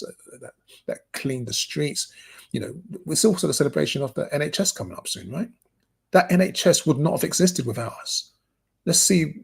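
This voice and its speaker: Earnest British man with a gravelly voice. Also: mid-range voice. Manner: earnest, hesitant, many fillers and restarts. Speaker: community news co-host. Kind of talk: spoken commentary.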